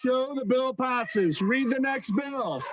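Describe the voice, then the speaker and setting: A man's voice: extremely high pitch, slow pace. An American man making formal procedural announcements as a presiding legislative officer. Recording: noisy legislative chamber clamor.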